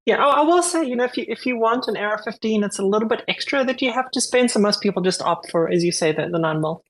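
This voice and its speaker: A woman's voice: on the high side, animated, quick pace, poor audio. A woman from Zimbabwe and South Africa with a southern African accent, chatting as a guest in a friendly, good-humoured way. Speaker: podcast guest. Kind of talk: casual chat.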